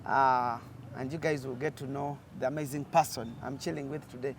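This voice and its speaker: A Ugandan man hosts a relaxed chat outdoors. He talks casually, filling gaps with "mm" and "uh" as he goes. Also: mid-range voice, a little fast, a little hiss or hum. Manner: chatty, with frequent "mm" and "uh" fillers. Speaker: host. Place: outdoors in nature.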